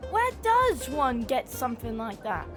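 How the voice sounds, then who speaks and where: A woman's voice: high voice, big pitch swings, unhurried. An actress playing a bold, cheeky young girl sleuth in an audio drama, brash and direct, fond of gossip. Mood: brash, insistent. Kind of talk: scripted audio drama acting. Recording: music behind the voice.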